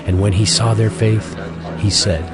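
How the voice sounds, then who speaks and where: Low-voiced male scripture narrator. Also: fairly even intonation, unhurried. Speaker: Bible narrator. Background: music.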